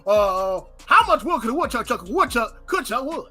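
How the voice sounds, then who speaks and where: A playful woman hosts a podcast trivia segment, joking and humming the quiz tune. Her voice is bright and forceful and sits somewhat high. It is heard over a remote call mic. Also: big pitch swings, fairly quick, soft background music. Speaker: podcast host. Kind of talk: casual chat, game-show hosting.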